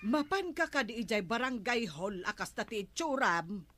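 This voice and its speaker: A woman's voice: a little high, sing-song, normal speed, music in the background. A woman playing an exasperated, scolding wife who draws out her interjections in a comedy radio drama.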